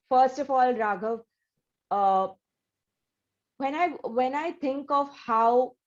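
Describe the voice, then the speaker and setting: A woman's voice: a little high, darkish tone. Effusive woman interviewer, muffled. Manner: effusive, with frequent restarts and fillers. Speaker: interview host.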